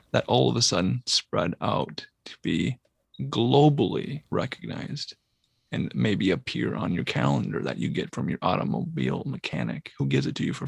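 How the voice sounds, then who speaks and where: Guy on a podcast, super gravelly voice, kinda husky, going on about coincidences. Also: mid-range voice, no echo. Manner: speculative, musing on synchronicities. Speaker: podcast co-host. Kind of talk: casual podcast talk.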